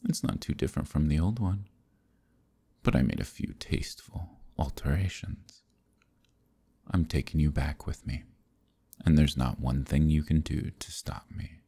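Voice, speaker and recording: Seductive demon king villain, ASMR roleplay: rock-bottom pitch, breathy, smug and quietly menacing. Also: full character voice. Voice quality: very deep, gravelly, breathy. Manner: slow, smug, softly threatening. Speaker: voice actor.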